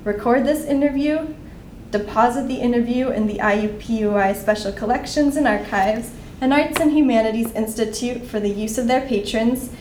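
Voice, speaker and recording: A young woman, a graduate student, reading a formal oral history introduction and then asking interview questions in a measured way. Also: a little mellow. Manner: measured, reads the consent script carefully. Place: conference room. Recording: echoey room with faint rumble.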